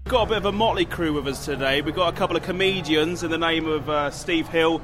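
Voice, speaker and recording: A man rattles along briskly as a podcast host. His pitch is a touch high and the style is casual banter. There is faint music in the background. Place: out at a London pub.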